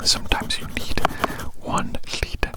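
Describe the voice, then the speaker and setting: Hushed ASMR whisperer, completely unvoiced. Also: a little slow. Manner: rambling, repeats phrases softly, addresses listener as a friend. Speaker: ASMR performer. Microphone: very close to the microphone.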